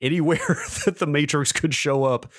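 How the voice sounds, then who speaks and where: A man's voice: a little high, moderate pace, no room sound, clean studio sound. A middle-aged American man in casual podcast conversation, hedging and dropping wry asides as co-host. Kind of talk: casual tech nostalgia chat.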